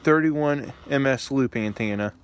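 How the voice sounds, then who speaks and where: A man's voice: medium pitch, measured pace, clear, resonant tone. A man presenting as a radio hobbyist, talking casually and plainly as he gives a hands-on demonstration. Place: indoors at night beside radio and laptop. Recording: home video with background noise.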